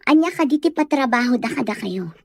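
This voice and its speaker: A woman's voice: a little high, expressive, fairly quick, a little mellow, dry recording, clean studio sound. A woman playing an inquisitive, sympathetic lady with a questioning manner, in a Filipino radio drama. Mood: concerned.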